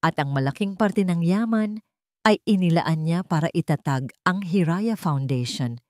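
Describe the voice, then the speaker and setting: Low-voiced woman narrating a story. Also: a little mellow, dry recording, clean studio sound. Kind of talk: scripted dramatic story narration.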